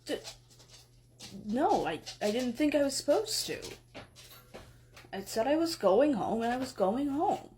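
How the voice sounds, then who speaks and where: A woman voicing a sleepy, slow-witted male god who mumbles, hesitates and apologizes. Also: high voice, very animated, mellow, slight background noise. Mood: flustered.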